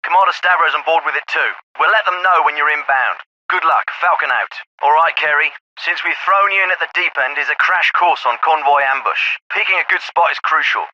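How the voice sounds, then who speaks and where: A man's voice: fairly high pitch, a little fast, sharp tone, no echo, lo-fi sound. A man with a British accent voicing a terse, calculating special forces officer in a video game, clipped and authoritative, through a radio filter. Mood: firm, instructive. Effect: radio filter on many lines. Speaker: squad commander giving orders.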